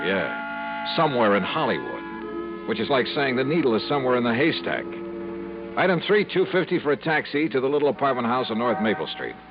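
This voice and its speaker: An actor playing a wry, dogged insurance investigator who also narrates, in 1950s radio drama style. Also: male voice, mid-range voice, close to an everyday voice. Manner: dry, needling questions. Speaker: narrator and lead. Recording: dull, hissy old radio broadcast.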